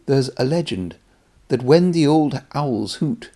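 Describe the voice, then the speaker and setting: A man voices an ominous storybook steam engine spinning spooky tales. The tone is mellow, with pitch that rises and sinks. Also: animated, takes it slowly. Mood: eerie, hushed. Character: spooky-tale-telling male steam engine.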